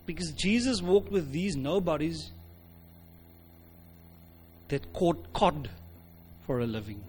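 A man's voice: fairly high pitch, lively intonation, takes it slowly, a little mellow, faint music behind. A man with a South African accent giving an informal sermon, speaking emphatically and conversationally, often repeating phrases for weight. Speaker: pastor, preacher.

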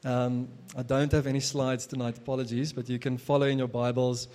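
A man's voice: mid-range voice, level tone, a little fast, a little mellow. A young adult man whose first language is Afrikaans, preaching a casual sermon as pastor, with many fillers and restarts.

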